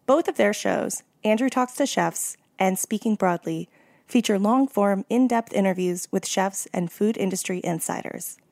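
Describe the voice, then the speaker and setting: A woman hosting a food podcast, reading scripted narration in a steady delivery. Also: medium pitch, no echo, studio quality. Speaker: podcast host.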